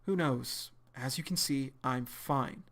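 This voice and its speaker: A man voicing a calm teenage girl who brushes off questions. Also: medium pitch, slow pace.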